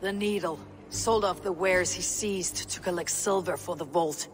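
A woman playing a stern, determined Viking warrior in a video game, blunt and commanding in a measured delivery. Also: fairly low pitch, soft background music, natural voice. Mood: resolute. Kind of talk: dramatic video game cutscene acting.

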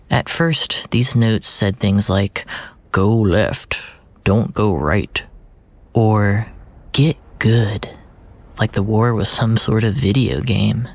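A storyteller narrating first-person literary fiction in a deliberate, brooding manner, with phrases that sink into a hushed breath. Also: mid-range voice.

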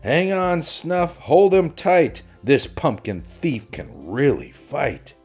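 Man playing a blustery, animated papa bear. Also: a little high, takes it slowly, faint music behind, pitched above the performer's own voice, full character voice. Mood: bold, triumphant. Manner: boastful, emphatic.

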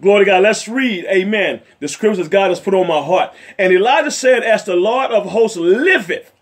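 A middle-aged male evangelist with a raspy voice, preaching fervently from a chair in his living room and sprinkling in amens and hallelujahs. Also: high-pitched, lively intonation, normal speed. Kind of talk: home sermon and Bible study. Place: home living room, seated in a leather chair.

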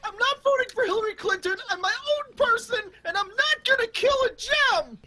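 A woman voicing a whiny, excitable fourteen-year-old boy in an improvised comic cartoon fan dub. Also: very high-pitched, a little fast, background noise. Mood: pleading, panicked. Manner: whiny, exclaiming, often breaking into shouts.

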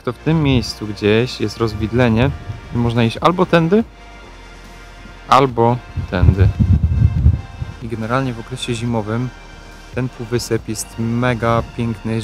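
A man with a Polish accent and a mid-pitched voice talks casually as a hiking vlog host. He is recorded outdoors on a trail, with clear music in the background. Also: poor audio. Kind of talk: casual travel vlog narration.

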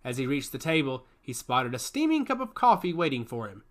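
A man reading a science fiction story aloud as a narrator, shifting his pitch up for the characters' lines. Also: sing-song, fairly quick, a bit bright.

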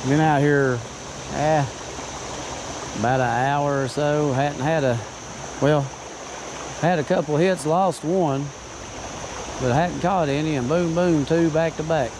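A man's voice: on the high side, steady tone. A man filming himself while wading a river, talking casually about his fishing and muttering to himself between casts. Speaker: fishing vlogger. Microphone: body-worn camera. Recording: outdoor camera audio with river noise. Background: rushing river water.

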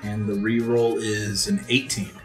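A man's voice: on the low side, little pitch movement, measured pace, soft background music. A man playing a tabletop game, casually describing his character's actions and calling out rolls.

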